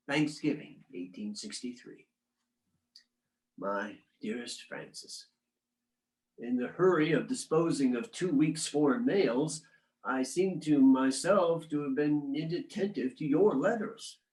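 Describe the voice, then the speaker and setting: A man reading as a 19th-century statesman writing solemn letters to his wife, in a formal, measured and occasionally halting delivery. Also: on the high side, expressive, a little mellow. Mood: formal, apologetic. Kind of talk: dramatic reading of historical letters. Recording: echoey, roomy sound.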